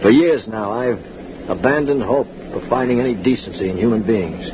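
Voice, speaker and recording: A man's voice: medium pitch, moderate pace, background noise, natural voice. An actor playing a cynical spaceman who has learned respect, telling his story in dramatic 1950s radio style. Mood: sober, humbled. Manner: dramatic, reflective in narration, urgent in dialogue. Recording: muffled 1950s radio recording, music behind.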